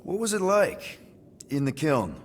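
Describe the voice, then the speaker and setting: An actor playing a casual, wisecracking space adventurer in a video game, with an informal, flippant way of talking. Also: male voice, a little high, very animated, unhurried, slight background noise. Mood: curious. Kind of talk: video game cutscene acting.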